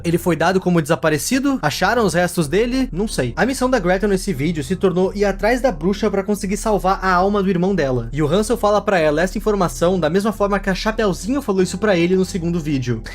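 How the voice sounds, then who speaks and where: A man with a Brazilian accent, hosting a casual story recap with colloquial, wry, jokey commentary. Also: sits high. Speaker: video host.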